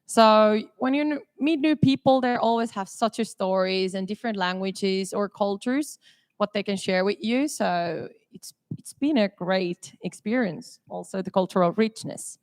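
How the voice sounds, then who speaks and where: A woman's voice: mid-range voice, normal speed, darkish tone, no room sound. A woman in her twenties from Finland, a student panelist speaking casually with fillers. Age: 20s. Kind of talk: panel discussion.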